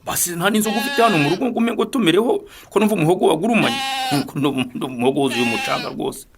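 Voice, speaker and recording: A man's voice: high voice, lively intonation, racing, background noise. A Rwandan man playing a hard-drinking, debt-ridden fodder carrier in a radio drama, pleading and wheedling stubbornly. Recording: animal and crowd noises behind. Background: goats and sheep bleating.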